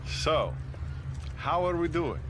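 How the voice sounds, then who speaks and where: An actor playing a self-important Soviet army major who talks in a dry, ironic way. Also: male voice, a little high, expressive, takes it slowly, noisy background. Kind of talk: video game cutscene acting.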